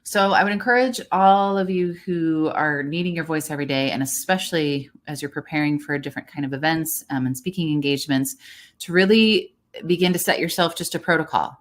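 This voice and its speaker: A middle-aged woman speaking as a voice coach in a podcast conversation, measured and encouraging as she advises listeners. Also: low voice.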